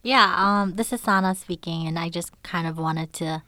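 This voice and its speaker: Low-voiced young woman, measured and hesitant. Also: pushed and bright. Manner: measured, with frequent ums. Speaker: podcast producer. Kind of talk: casual podcast chat. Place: recording studio.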